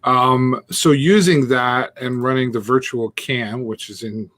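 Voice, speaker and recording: A man of ordinary mid pitch co-hosting a casual live stream. He talks through his software setup step by step, chuckling and trailing off mid-sentence, speaking into a Bluetooth earpiece. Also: fairly even intonation, unhurried. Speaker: co-host demonstrating streaming setup.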